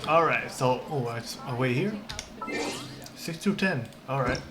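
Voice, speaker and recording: Very low-voiced woman streaming a game. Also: lively intonation, measured pace. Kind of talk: casual gameplay commentary. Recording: game music behind the voice.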